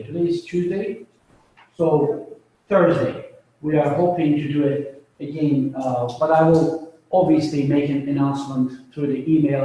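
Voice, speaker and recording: A man's voice: a little high, dark tone. A man introducing a guest lecturer to a classroom, talking loosely and correcting himself as he goes. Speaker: session organizer introducing speaker. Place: lecture room with tiered seats. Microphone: far room mic. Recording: echoey room from far off.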